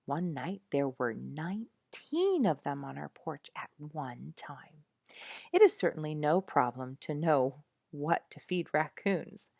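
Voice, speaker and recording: Low-voiced, animated woman storyteller. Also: takes it slowly, gravelly, no echo, highs cut off. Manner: animated, showing pictures to listeners. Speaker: storybook reader. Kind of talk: expressive read-aloud storytelling of an animal book.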